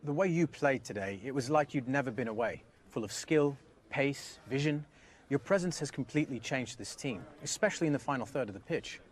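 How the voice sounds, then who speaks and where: A man's voice: mid-range voice, animated, brisk, natural voice. A man playing a polite football reporter conducting a post-match interview in a video game. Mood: polite, admiring. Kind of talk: sports television interview in a game.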